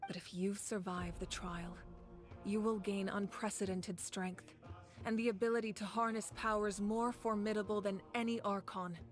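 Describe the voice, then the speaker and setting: Dignified female ruler for a game actress: medium pitch, measured, formal, steadfast, over a clear music bed. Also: fairly even intonation, natural voice. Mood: solemn. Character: composed, resolute female leader, dutiful and dignified. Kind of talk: video game voice acting.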